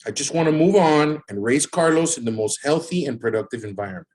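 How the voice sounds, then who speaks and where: A man's voice: fairly high pitch, expressive, brisk, a little mellow, low-quality recording. A man acting in an audio drama as a hurt, exasperated young father rebuffing his ex. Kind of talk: naturalistic scripted audio drama.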